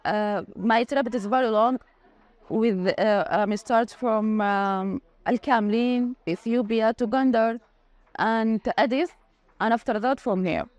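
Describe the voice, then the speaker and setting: A higher-voiced woman whose native language is Arabic gives an interview. She speaks very slowly and hesitantly, searching for words in limited English. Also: a little mellow, no echo. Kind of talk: halting interview speech.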